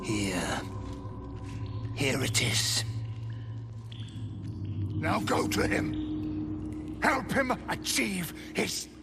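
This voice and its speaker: An actor playing a cruel undead jailer in a video game, sickly-sweet and servile, with drawn-out taunting speech that refers to himself in the third person. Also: male voice, a little high, wildly swooping pitch, creaky, over loud music, exaggerated voice. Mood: sly, obstinate. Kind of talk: cinematic video game voice acting.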